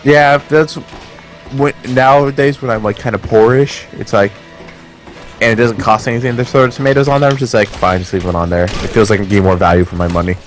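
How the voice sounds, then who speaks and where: A man's voice: mid-range voice, fairly even intonation, brisk, soft background music. A man chatting casually as co-host of a game stream, rambling and swearing freely. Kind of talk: casual chat over gameplay.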